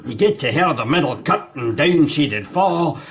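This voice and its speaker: A man's voice: fairly high pitch, expressive, moderate pace, close to an everyday voice. An actor playing a plain-spoken, wry male assistant to a Victorian scientist in a radio drama. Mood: bashful, jokey.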